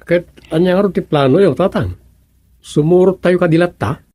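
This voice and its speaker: An actor playing an eager fisherman's son with a husky voice and an Ilocano accent in a radio drama comedy. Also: high-pitched, normal speed, mellow, dry recording. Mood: curious, willing.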